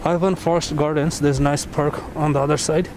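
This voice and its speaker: A man giving relaxed, musing commentary on a walking tour outdoors on a city street, pausing between his remarks. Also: a little high. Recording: street traffic noise outdoors. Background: traffic and street ambience.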